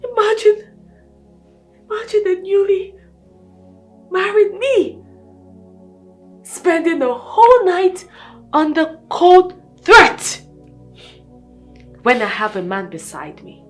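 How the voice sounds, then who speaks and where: A woman's voice: very high voice, very animated, slow, pauses often, heavily acted. A young woman acting in a film drama as a bitter, tearful newlywed wife who feels betrayed. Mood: bitter, accusing. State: crying, tearful. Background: music behind the voice.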